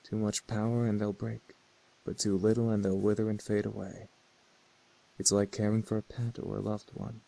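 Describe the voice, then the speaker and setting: A man voicing a gentle, refined young male incubus in a visual novel game, speaking in formal, measured phrases. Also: fairly low pitch, level tone, vocal fry, poor audio. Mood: tender, gentle.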